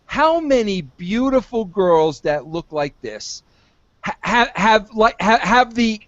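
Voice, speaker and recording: Podcast host, male, 50s, pushy and lively, dull dry audio. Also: high voice, slow, no room sound. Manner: pushy, coaxing, repeats himself. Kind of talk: casual podcast chat.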